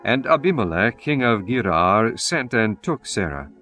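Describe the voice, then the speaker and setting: A man's voice: lowish voice, big pitch swings, a little slow, bright, forceful tone, faint music behind. A man narrating a scripture audiobook in a solemn, formal manner, reading archaic text as a scripted performance.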